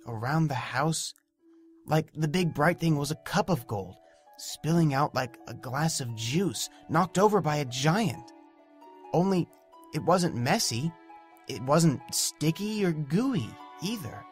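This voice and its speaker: A man tells a first-person story as a gentle, innocent imaginary dog. His pitch moves expressively around a middle register. The delivery is simple, earnest and childlike, with faint music behind it. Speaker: narrator and actor.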